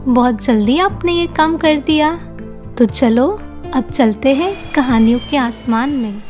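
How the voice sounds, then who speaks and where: A woman narrates a scripted story for children. Her voice is high-pitched, and soft music plays behind it.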